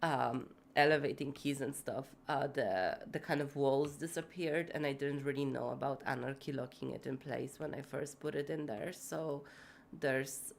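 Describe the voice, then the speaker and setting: A woman's voice: rumbling low, moderate pace, creaky, slight background noise. A woman streaming live while building in a city game, congested and feverish, rambling with lots of fillers and casual swearing. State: sick with fever, congested, voice going.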